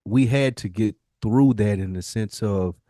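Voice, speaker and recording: A man's voice: fairly low pitch, a little slow, warm tone, no echo. American man talking earnestly in a dry space. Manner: earnest, emphatic, colloquial, repeats phrases as he builds a point. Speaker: podcast co-host. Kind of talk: casual reflective podcast conversation.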